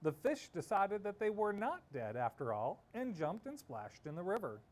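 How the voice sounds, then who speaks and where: A man reading a children's story aloud expressively, with theatrical delivery and dramatic pauses. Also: high-pitched, big pitch swings, a little mellow. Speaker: storybook reader.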